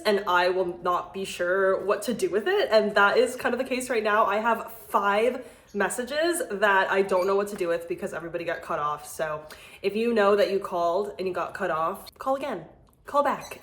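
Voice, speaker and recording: A woman's voice: mid-range voice, reverberant. A young adult woman with an American accent, chatting casually and informally as she hosts a video, peppering her talk with "like". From United States. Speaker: video host reading listener messages. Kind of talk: casual chat to camera.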